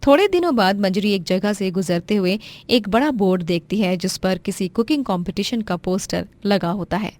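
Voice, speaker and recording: A woman's voice: medium pitch, lively intonation, normal speed, few pauses, a little mellow. A woman storyteller reading scripted narration for a moral tale.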